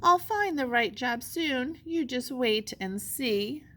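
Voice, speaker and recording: A woman's voice: high voice, animated, slow pace, sharp tone, voice pushed up, full character voice. A woman voicing a cheerful, determined female emu from a children's storybook, upbeat and plucky.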